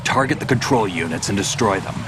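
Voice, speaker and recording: An actor playing a seasoned, resourceful soldier in a video game, gruff and terse in a matter-of-fact way. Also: male voice, on the low side, lively intonation, a little fast, background noise, lightly acted. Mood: businesslike.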